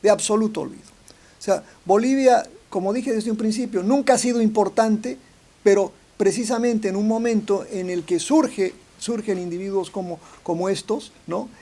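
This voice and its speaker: A man's voice: high voice, normal speed, dark tone. A man, a professor, speaking at a panel forum, often repeating words and ending phrases with a questioning tag. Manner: hesitant, repeats words, tags "¿no?". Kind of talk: academic forum talk.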